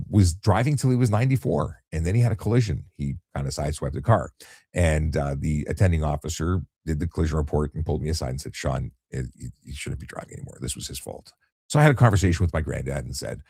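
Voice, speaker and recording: Man, middle-aged, deep husky voice, dry close sound, calm explanatory chat host. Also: no room sound. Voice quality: low voice. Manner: calm, explanatory, many restarts and fillers. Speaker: retired traffic police officer, live-stream host. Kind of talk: relaxed late-night live chat reading viewer questions.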